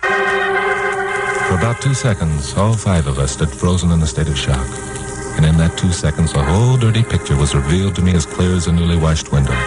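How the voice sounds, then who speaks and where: A man's voice: low-pitched, rough recording. An actor playing a wisecracking, tough crime-fighter narrating his case in a 1950s radio drama, hard-boiled and sardonic. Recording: old 1950s radio recording, music underneath.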